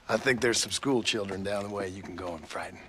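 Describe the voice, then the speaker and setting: A man's voice: lowish voice, normal speed, a bit bright, slight background noise, close to an everyday voice. A man playing a stubborn, cool-headed Old West gunslinger in a video game, terse and sardonic, with an American accent. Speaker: gunslinging lawman. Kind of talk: dramatic video game acting.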